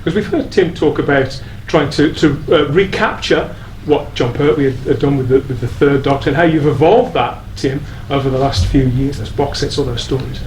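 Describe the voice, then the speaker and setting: A man's voice: mid-range voice, normal speed, hardly any pauses, a little mellow. A man hosting a live panel interview, speaking in wordy, elaborately built questions. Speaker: podcast host interviewing guests. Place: on stage at a fan convention. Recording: distant, echoey live hall, background noise.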